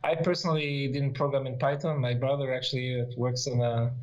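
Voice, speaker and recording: A man's voice: mid-range voice, little pitch movement, brisk, muffled recording. A middle-aged man from Israel whose first language is Hebrew, explaining things casually and a bit hesitantly as an interview guest. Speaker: interview guest, software developer.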